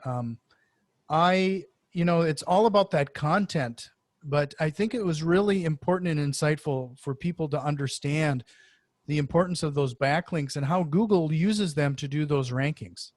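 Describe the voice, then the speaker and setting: Higher-pitched male podcast host, dry sound. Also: dry recording. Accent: Norwegian-influenced. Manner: wordy, halting delivery with repeated words. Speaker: interview show host. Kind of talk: conversational podcast interview.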